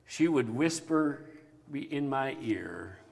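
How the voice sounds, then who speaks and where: A man preaching a sermon with a big voice that grows animated at times. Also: medium pitch, takes it slowly, vocal fry, in a large hall. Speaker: pastor.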